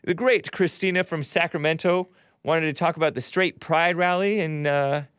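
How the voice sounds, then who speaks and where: Man, talk show host, argumentative, reads viewer chats, smooth clear voice, dry close sound. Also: a little high, steady tone, normal speed, a bit bright, no room sound. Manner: argumentative, quotes scripture, chuckles while reading.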